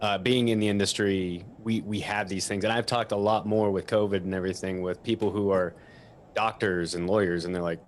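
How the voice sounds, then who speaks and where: A man's voice: lowish voice, fairly even intonation, a little fast, slight background noise. A man chatting casually as a panelist in an online session from a small office, rambling a little and poking fun at himself. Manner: self-deprecating, rambling. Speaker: panelist who teaches about microphones. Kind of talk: casual chat in a webinar.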